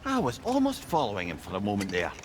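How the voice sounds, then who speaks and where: Wry, highly animated game companion. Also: male voice, fast talker, background noise. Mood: bemused. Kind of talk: video game cutscene acting.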